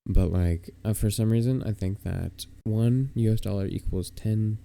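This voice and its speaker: A young American man with a lowish, very mellow and dark voice. He chats casually as a podcast co-host, hesitant and rambling, in a self-deprecating way. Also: moderate pace, gravelly. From United States. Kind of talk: casual chat.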